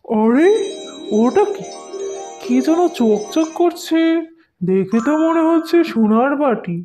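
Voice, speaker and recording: A woman acts out a good-natured husband bird from a children's cartoon. The voice is dark and mellow, pitched a little high. He talks in an earnest, placating way over faint music. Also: expressive, slow pace. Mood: amazed. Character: mild, good-natured husband bird who sells fish.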